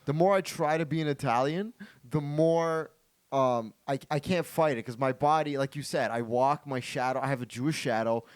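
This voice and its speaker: A man's voice: medium pitch, moderate pace, clear, resonant tone, dry recording. A young man hosting a casual podcast, rambling and circling back over and over to the point he is trying to make. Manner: rambling, restarts, repeats his point. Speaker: podcast host.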